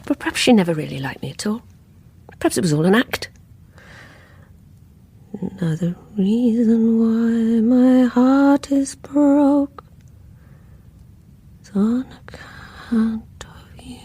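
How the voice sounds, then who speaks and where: A woman's voice: fairly high pitch, takes it slowly, long pauses, mellow, husky, exaggerated voice. An actress playing a haunted, bitter, unstable woman in a radio play, with a brooding, intense delivery. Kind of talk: radio play acting, dramatic monologue.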